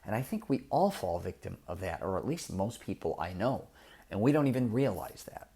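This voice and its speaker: A middle-aged man with a somewhat low voice talks straight at the listener. He sounds blunt and direct, like a coach giving frank advice in a casual podcast monologue. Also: very animated, fairly quick, dark tone, slightly rough. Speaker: podcast host.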